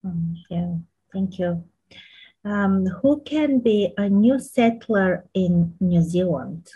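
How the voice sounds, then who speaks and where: Husky-voiced woman hosting an interview. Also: fairly low pitch, animated, slow pace, warm tone, highs cut off. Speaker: radio host. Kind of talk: interview host.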